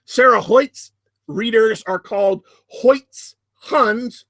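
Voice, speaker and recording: A man hosting a podcast, giving solo commentary in a deliberate, emphatic way with sardonic asides. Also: sits high, a bit bright, no room sound, studio quality. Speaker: podcast host.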